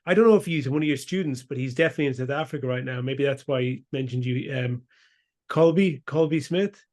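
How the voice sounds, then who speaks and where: A man hosting a podcast interview, chatting casually and warmly with his guest, often restarting his sentences. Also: mid-range voice, brisk. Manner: friendly, chatty, with frequent restarts. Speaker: podcast host.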